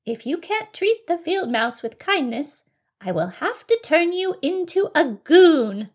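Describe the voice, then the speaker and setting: A woman voicing a kindly but firm good fairy in a storybook, with a sing-song, gently scolding delivery. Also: very high voice, big pitch swings, unhurried, pitched above the performer's own voice, full character voice. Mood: firm warning.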